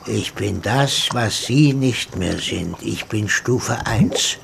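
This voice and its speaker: A gravelly, whispering swamp reptile. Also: male voice, fairly low pitch, expressive, exaggerated voice. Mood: menacing calm. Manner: slow, hushed, hypnotic. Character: lurking swamp reptile, primal brainstem, patient and menacing. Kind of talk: radio drama acting. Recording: hissy tape recording.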